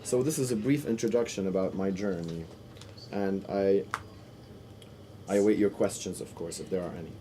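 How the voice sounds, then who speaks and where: A man interpreting a panel discussion live, in halting phrases with restarts and sudden bursts of speed. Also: deep voice, background noise. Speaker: simultaneous interpreter.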